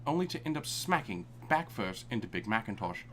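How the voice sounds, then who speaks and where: A man's voice: lowish voice, big pitch swings, a little fast, a bit bright, slight background noise. A man reading fan fiction aloud for laughs on a live stream. He reads dramatically, then breaks off to laugh and swear. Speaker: fanfic reader. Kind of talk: comedic live fanfic reading.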